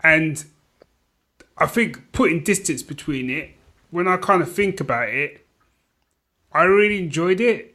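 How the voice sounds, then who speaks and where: A man's voice: a little high, animated, unhurried, pauses often, pushed and bright. A man co-hosting a podcast, chatting casually about films in a rambling, conversational way. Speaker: podcast co-host. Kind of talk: casual film chat.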